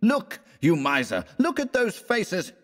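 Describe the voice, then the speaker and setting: A man's voice: on the low side, moderate pace, a little mellow, lightly acted. A man voicing an angry young American man in a storybook, blunt and contemptuous, sneering and growling threats. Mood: indignant. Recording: long reverberant room echo.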